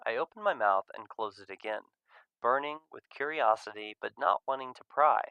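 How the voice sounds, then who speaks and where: A man reading a visual novel aloud as an expressive first-person narrator. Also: medium pitch, moderate pace, clear, resonant tone, no room sound, low-quality recording.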